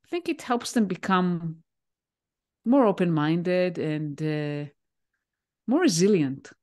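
A woman in her forties from Israel, a native Hebrew speaker, answering interview questions on a video call with a headset, pausing often with fillers. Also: lowish voice, lively intonation, dark tone. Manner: thoughtful, pauses with many "uh" fillers. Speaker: guest, leadership coach. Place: home room, indoors.

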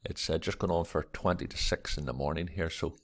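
Man, croaky morning voice, very low pitch, rambling vlog host. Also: brisk. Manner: rambling, reads articles aloud with many fillers. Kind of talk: casual screen-recorded commentary.

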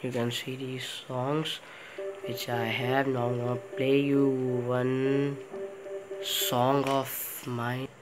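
Man, Indian accent, flat delivery, slow and halting, tutorial presenter. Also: medium pitch, loud music behind the voice, rough recording. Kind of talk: unscripted step-by-step demonstration. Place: indoor room in a home, in front of a TV.

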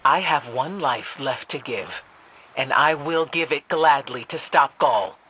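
An actress playing a grave, resolute warrior leader in a video game, with measured delivery. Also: female voice, low-pitched, a bit bright, heavily acted, rough recording. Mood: solemn, resolved. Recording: clear game battle noise behind.

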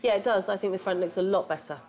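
A woman's voice: mid-range voice, fast talker, darkish tone, a little hiss or hum. A woman who owns a pub, talking in a chatty, self-deprecating way with restarts. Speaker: pub owner. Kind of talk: casual reality TV talk. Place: busy pub dining room. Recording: music bed underneath.

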